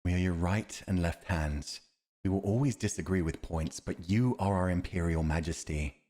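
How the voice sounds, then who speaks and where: A man's voice: low voice, normal speed, dark tone. A man playing a loyal, honourable war commander advising royalty in a fantasy audio roleplay, speaking formally and with courtly restraint. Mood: deferential.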